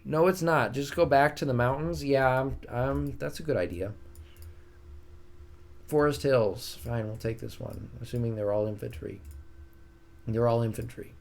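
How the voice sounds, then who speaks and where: A man's voice: mid-range voice, normal speed, gravelly, pauses often. A man with a soothing voice streaming a strategy game, casually chatting with his viewers and joking at his own expense. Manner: chatty, self-mocking, reads and answers chat.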